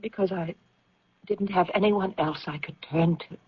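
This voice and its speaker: A woman acting in an old-time radio drama as a meek, evasive, resigned wife who speaks hesitantly and gently. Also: lowish voice, normal speed, slightly rough. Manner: hesitant, subdued. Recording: dull, hissy old radio recording.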